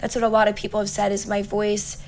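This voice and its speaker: A young woman with a raspy voice, a singer-songwriter chatting upbeat as an interview guest, full of likes and ums. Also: medium pitch, completely flat delivery, fast talker, darkish tone, a little hiss or hum. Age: young adult. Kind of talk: casual interview chat.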